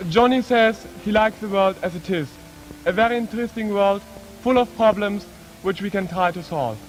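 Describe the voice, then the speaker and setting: High-voiced young German-accented man speaking formally. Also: poor audio. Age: young adult. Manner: earnest, deliberate. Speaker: exchange student speaker. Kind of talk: formal prepared speech. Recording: 1950s archive with faint noise.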